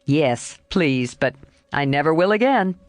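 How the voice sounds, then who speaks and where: A woman's voice: expressive, a little slow, no echo, studio quality, soft background music. Woman doing a mischievous charming young man. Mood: playful, sheepish. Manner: lowered, playful and sheepish.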